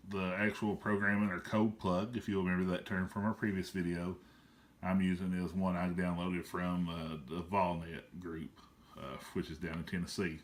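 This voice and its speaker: A man's voice: low-pitched, a little hiss or hum. A man hosting an amateur radio tutorial in a casual, unscripted way.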